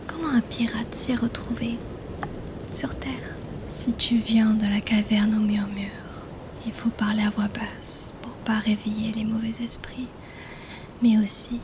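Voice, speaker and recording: A woman's voice: a little high, flat delivery, background noise, natural voice. A woman voicing a mysterious, shrewd mermaid healer in a whispered, intimate ASMR roleplay. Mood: intrigued, probing. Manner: hushed, slow, intimate, teasingly questioning.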